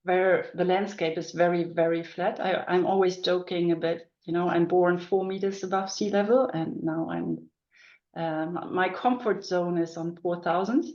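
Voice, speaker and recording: A woman's voice: fairly low pitch, animated, moderate pace, a little mellow. German woman, echoey and muffled. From northern Germany. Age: middle-aged. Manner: thoughtful, many ums and restarts. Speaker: guest, business coach. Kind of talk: casual interview. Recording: echoey dull-sounding room.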